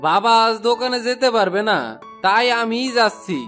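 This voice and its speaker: A man's voice: very high voice, normal speed, fairly bright tone, faint music behind. A man playing a sensible, hardworking young village friend in a cartoon, earnest and advising. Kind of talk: cartoon folk-tale voice acting.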